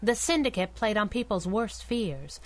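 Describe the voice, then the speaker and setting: A woman plays a loyal, sassy girl sidekick from an old-time radio serial. She speaks at a medium pitch in a slangy, wisecracking way, coy and streetwise. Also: lively intonation, moderate pace, dry recording, lightly acted. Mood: earnest. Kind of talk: 1930s-style radio drama acting.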